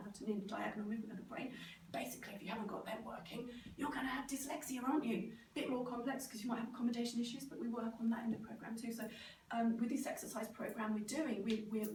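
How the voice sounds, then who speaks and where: A middle-aged British woman with a croaky, sore-throated voice, giving an informal, animated talk and sometimes mimicking other people. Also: on the high side. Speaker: speaker, neurodevelopment specialist. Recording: roomy, distant microphone, clear background noise.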